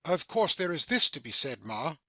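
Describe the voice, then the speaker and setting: A man acts out a self-important middle-aged gentleman of stage comedy, full of bluster and easy indignation. The voice is fairly high and sharply forceful, recorded close and dry, with pompous, wordy phrasing. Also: very animated, moderate pace, dry recording, exaggerated voice. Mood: flustered, stupefied. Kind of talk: acted stage satire in audio.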